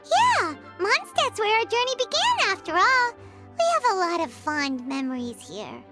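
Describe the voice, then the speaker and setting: A woman voicing a tiny, chirpy floating girl companion in a game cutscene, bubbly and excitable. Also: unhurried, over loud music, heavily acted. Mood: chatty. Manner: bubbly, high and lively.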